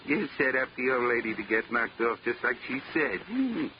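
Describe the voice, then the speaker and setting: An actor in a 1940s radio drama playing a dim, cocky small-time hoodlum who talks in bad-grammar street slang. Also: male voice, medium pitch, very animated, moderate pace. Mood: self-important. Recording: muffled, noisy 1940s radio recording.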